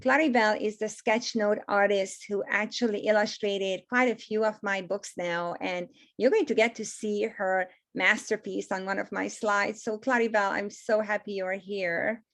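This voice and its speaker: A woman's voice: mid-range voice, steady tone, moderate pace, few pauses, a bit bright. A woman in her fifties from Hungary, with a Hungarian accent, giving a gracious, emphatic webinar presentation over a video call.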